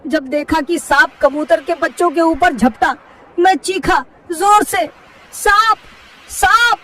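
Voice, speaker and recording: Woman doing a caring male parrot, urgent, emotional, high voice, distant mic, faint hiss. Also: slow, fairly bright tone, full character voice. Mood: urgent, grieving. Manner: urgent, emotional storytelling, warning. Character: kindly male parrot, worried neighbor.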